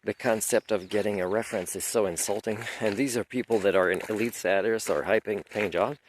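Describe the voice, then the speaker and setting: A man whose first language is French, giving a rambling, aggrieved unscripted monologue and clearing his throat now and then. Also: lowish voice, fairly even intonation, brisk, a little hiss or hum, poor audio. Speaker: video lecturer.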